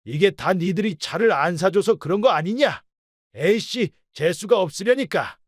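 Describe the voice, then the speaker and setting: Man playing a brazen, high-pitched old father-in-law. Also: lively intonation, normal speed, no room sound, clean studio sound. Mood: irritated. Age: older. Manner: scolding, coarse, self-pitying. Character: brazen, blustering old father-in-law, blaming everyone else. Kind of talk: Korean radio drama acting.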